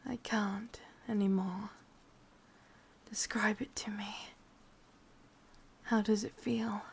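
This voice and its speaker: A woman playing a sadistic, possessive, lovesick witch in a whispered ASMR roleplay, speaking intimately in an English accent with a breathy, husky voice. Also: medium pitch, little pitch movement, full character voice. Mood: coolly curious. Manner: slow, intimate, menacingly tender.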